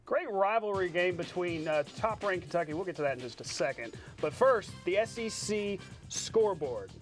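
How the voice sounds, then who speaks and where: Male American sports anchor, higher register, joking highlight calls over a music bed. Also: expressive, normal speed. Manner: playful, jokey asides. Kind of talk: highlight commentary and studio banter. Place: TV studio.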